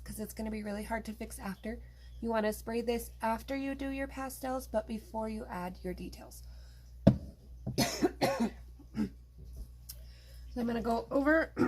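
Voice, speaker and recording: A woman's voice: a little high, slight background noise. Chatty woman showing pastel blending. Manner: relaxed, chatty instructions while working. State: losing her voice. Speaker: art tutorial host. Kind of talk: casual step-by-step craft demonstration.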